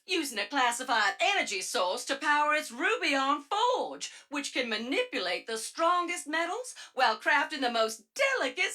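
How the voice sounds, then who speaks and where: A woman's voice: high voice, bright, forceful tone, exaggerated voice. A woman voicing a perky, cheerfully wry robot spy guide, narrating video game audio logs in a playful, theatrical way. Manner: theatrical, playful, exaggerated delivery.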